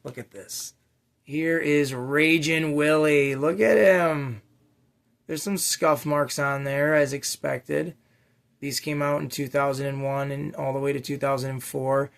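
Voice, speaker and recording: A man with a slightly raspy voice hosting a casual, unscripted unboxing vlog for toy collectors, rambling along with slangy, enthusiastic asides. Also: on the high side, fairly even intonation, measured pace, a bit bright. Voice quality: a little raspy. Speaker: toy collecting channel host.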